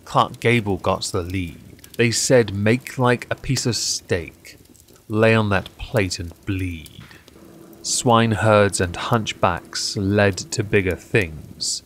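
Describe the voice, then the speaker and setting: A man with a fairly deep voice recites poetry at a very slow pace. His phrasing is deliberate and theatrical, with measured pauses. Some noise sits behind the recording. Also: a bit bright. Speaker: poetry reader and channel host. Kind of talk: measured dramatic poetry reading. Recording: clear background noise.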